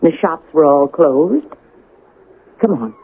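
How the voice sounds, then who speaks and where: An actress playing a chatty, nosy, suspicious wife on a cruise in a radio drama. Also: female voice, low-pitched, expressive, takes it slowly, husky, exaggerated voice. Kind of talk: 1980 radio drama acting. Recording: tinny, noisy old radio broadcast.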